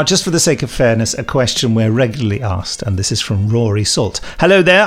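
A middle-aged man hosting a podcast in casual chat, reading listener emails with wry, bantering asides. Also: fairly high pitch. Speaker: podcast host, audio drama director and composer.

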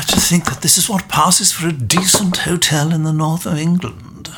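An actor playing a haughty, dry British spymaster in an audio drama, formal, droll and condescending. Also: male voice, fairly high pitch, steady tone, unhurried, background noise. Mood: disdainful.

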